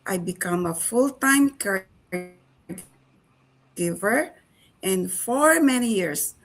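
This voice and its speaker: An older woman from the Philippines, a panelist who speaks in a slow, reflective way. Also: fairly high pitch, lively intonation.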